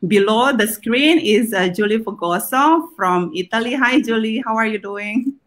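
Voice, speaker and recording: A woman hosting a live video talk, speaking casually with many pauses and fillers. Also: a little high. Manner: hesitant, many ums and uhs. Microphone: video call.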